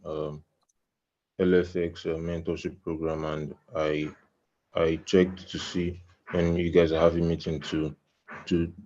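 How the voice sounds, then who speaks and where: Male designer from Nigeria, very low monotone, repeats words, muffled call sound. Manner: stammering repeats. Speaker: designer attendee. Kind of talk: self-introduction on a call. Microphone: computer mic on video call.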